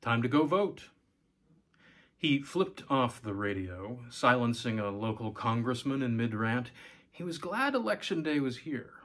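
A man's voice: mid-range voice, expressive, normal speed, fairly bright tone. A man in his forties reading a short story aloud as scripted narration. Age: 40s. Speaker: story reader, host. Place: small room at home.

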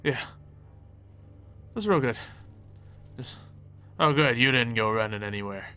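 A man's voice: medium pitch, expressive, a little slow, sharp tone, clear, resonant tone. A man playing a cocky, reckless werewolf second-in-command in an improvised game roleplay, talking in a flippant, impulsive, casual way. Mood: excited, pleased. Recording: music behind the voice. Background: background music.

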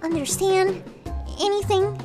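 A woman voicing a shy, sweet, easily flustered cartoon pony, with a girlish voice and a halting, apologetic, plaintive manner, improvising commentary in character. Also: very high-pitched, steady tone. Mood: plaintive, confused. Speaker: in-character host reacting to pictures. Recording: children's music playing under the voice. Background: children's music.

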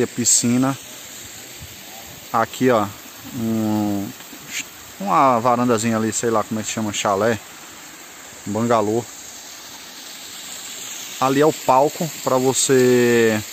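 A man's voice: medium pitch, slight background noise. Guy vlogging a camp tour outside, handheld cam, chatty, bugs buzzing faintly behind. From Northeast Brazil. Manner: chatty, pointing things out, loose and rambling. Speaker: moto travel vlogger. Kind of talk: casual vlog tour narration. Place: outdoors at a rural campsite by a river and woods.

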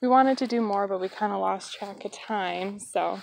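A woman filming a relaxed, casual tour of her backyard garden on a phone, chatting with plenty of ums. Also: medium pitch, normal speed, gravelly, a little hiss or hum, low-quality recording. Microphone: phone held while walking.

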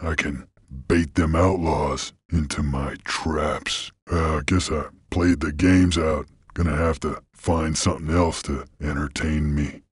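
Gruff game bounty hunter creature: rock-bottom pitch, husky gravel, Western American cowboy drawl, terse and folksy, close dry sound. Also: male voice, fairly even intonation, a little slow, no echo, full character voice. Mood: gruff, musing. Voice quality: gravelly, raspy. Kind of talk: video game voice acting.

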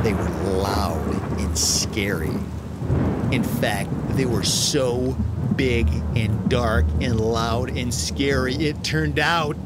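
Animated male storyteller with swooping pitch. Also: gravelly, very noisy. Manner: dramatic, exaggerated emphasis, drawn-out words. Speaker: children's story podcast narrator.

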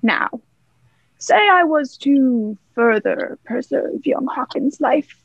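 Young woman acting a high, creaky pirate man. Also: expressive, slow pace, no echo, muffled recording, heavily acted. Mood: wheedling. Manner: salty pirate dialect. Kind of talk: stage-style radio play acting.

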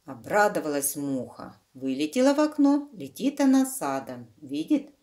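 A woman's voice: low voice, big pitch swings, normal speed, dark tone. A woman reading a children's storybook aloud, explaining the pictures simply to young listeners. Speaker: children's book reader.

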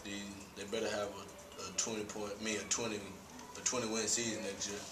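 A young man, a college basketball player, answering postgame questions at a press conference in a relaxed way, with many fillers. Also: on the low side, a little fast. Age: young adult. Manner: relaxed, laughs easily, many "you know" fillers. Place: small press room. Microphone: table mic. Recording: roomy echo, distant mic, hum.

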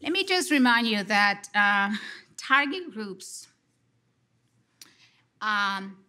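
A middle-aged woman from Colombia with a Colombian Spanish accent, giving an expressive conference response from a lectern on a large stage, speaking into a headset mic. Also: mid-range voice. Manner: expressive, gesturing, slow and deliberate. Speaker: lecture respondent, psychology professor.

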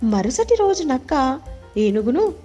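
A woman's voice: sits high, very animated, a little slow, mellow. A woman storyteller reading scripted moral animal tales for children. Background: faint music.

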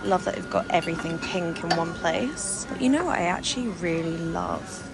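Young woman vlogging on a shopping trip, chatty and gushy, phone at arm's length, loud music under her. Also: fairly low pitch, lively intonation, moderate pace, creaky, poor audio. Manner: gushing, chatty, talking to camera while walking. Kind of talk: casual shopping vlog chat. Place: busy city street and shops, outdoors and indoors. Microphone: handheld camera at arm's length.

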